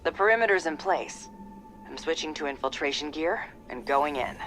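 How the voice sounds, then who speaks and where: A woman's voice: low voice, lively intonation, normal speed, over music. An actress playing a cool, determined female covert ops soldier in a video game cutscene. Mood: focused, wry.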